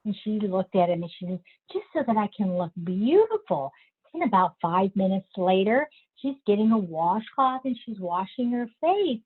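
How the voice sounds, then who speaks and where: A woman telling a joke as joke master at an online club meeting, lively in her storytelling. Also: moderate pace, slightly rough. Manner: animated storyteller, high childlike voice for the boy. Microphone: video call. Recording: tinny phone-like call audio.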